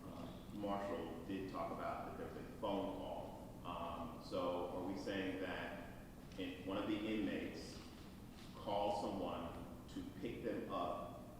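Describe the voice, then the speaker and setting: Low-pitched man, reporter, rephrased questions, distant mic, rumbling noisy hall. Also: little pitch movement, slow pace. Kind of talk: press conference questioning. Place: off-mic in a large briefing room.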